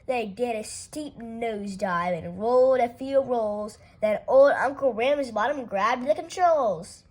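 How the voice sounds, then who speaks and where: A woman reading a rhyming children's picture book aloud with playful, expressive delivery. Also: fairly high pitch. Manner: unhurried, expressive read-aloud with playful character voices. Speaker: storytime reader.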